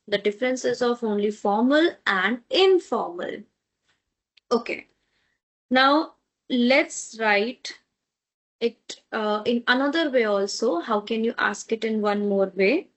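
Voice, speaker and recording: A woman whose first language is Tamil teaches a beginner language class at an unhurried pace. Her voice sits a little high, and she repeats each word slowly before checking that her students are following along. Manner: patient, repeats words slowly, checks in with "okay?". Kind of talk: online language lesson.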